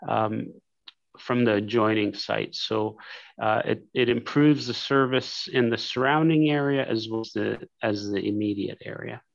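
Casting: a low, gravelly man, hesitant and polite, with dry, muffled call audio. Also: measured pace, dry recording. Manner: hesitant, many fillers, polite. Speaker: applicant's representative answering council. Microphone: video call.

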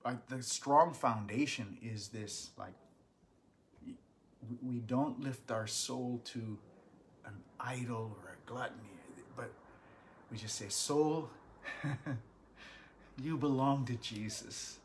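Pastor guy in his 50s teaching bible study at home, slow earnest, laptop mic, faint room hum. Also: mid-range voice. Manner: earnest, animated hand gestures, bursts of emphasis. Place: small living room at home.

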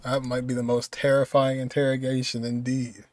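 A man's voice: mid-range voice, monotone, normal speed, darkish tone, no echo. A man in his twenties giving casual, amused reaction commentary as a video host, with muttered exclamations. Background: music from the video he is watching.

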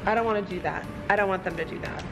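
A woman's voice: mid-range voice, over loud music. A woman in her thirties, a mother and vlog host, chatting casually to a handheld camera at an airport gate. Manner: quick, upbeat, chatty. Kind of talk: casual family vlog chat. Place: airport gate area, large indoor hall. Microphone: handheld camera at arm's length.

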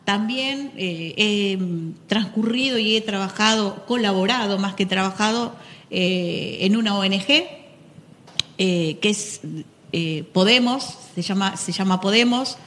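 Middle-aged Argentine teacher, earnest, filler-heavy, handheld mic in a studio with a slight hum. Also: female voice, medium pitch, unhurried, fairly bright tone, long reverb tail. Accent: Argentine Spanish. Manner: earnest, listing, many fillers. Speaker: teacher of economics, guest. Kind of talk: studio interview answers.

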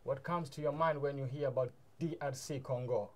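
A man's voice: medium pitch, fairly even intonation, fairly quick. A young man hosting an interview show in a small studio, giving enthusiastic introductions in loose, informal phrasing. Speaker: show host. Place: small studio room with mic stands.

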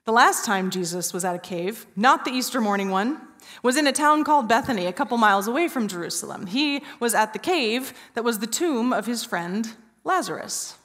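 A woman in her forties preaching an Easter sermon from a church stage, telling a story with hushed, drawn-out pauses. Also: a little high, expressive. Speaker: pastor. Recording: reverberant church hall.

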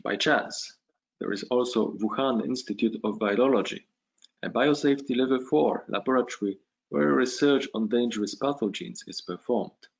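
A male physician delivers a measured academic lecture, at a middle pitch. The sound is dull and lo-fi, like a video call. He pauses now and then on small fillers. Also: gravelly, breathy edge.

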